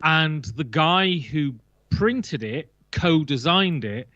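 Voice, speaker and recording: A man's voice: a little high, expressive, slow, pushed and bright, no room sound. A man chatting casually and emphatically about board games as a guest, sometimes jokey, on slightly muffled audio. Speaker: guest on a board game list show. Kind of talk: casual opinionated chat.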